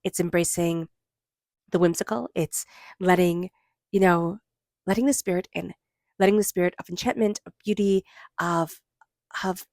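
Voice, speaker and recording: A woman with a stuffy cold giving a spiritual tarot reading, gentle and meandering, slowing into soft chanted invocations. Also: lowish voice, dry recording, clean studio sound. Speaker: tarot reader.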